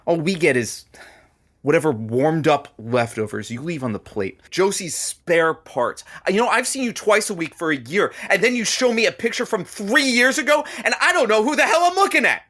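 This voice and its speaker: A man's voice: sits high, animated, moderate pace, a bit bright. An actor playing a blunt, sarcastic coworker of a radio host, a man, in a naturalistic audio drama. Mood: exasperated.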